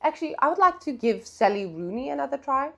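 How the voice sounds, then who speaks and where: A woman's voice: high voice, very animated, fast talker, vocal fry. A woman talking casually in a book vlog, chatty and playful, with slangy, self-deprecating asides. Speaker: book vlogger.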